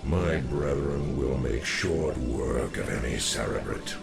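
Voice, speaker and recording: An actor playing a grave old alien warrior mentor in a video game, with a gravelly voice and formal, solemn delivery. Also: male voice, slow pace, exaggerated voice. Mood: stern, advising. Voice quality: very deep, gravelly. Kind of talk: scripted video game dialogue. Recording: very loud music behind.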